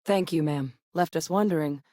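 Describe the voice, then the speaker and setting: An actress playing a steady, determined female military scientist in a video game, with a serious, matter-of-fact manner. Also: low voice, normal speed, no room sound, clean studio sound, close to an everyday voice. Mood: measured, serious.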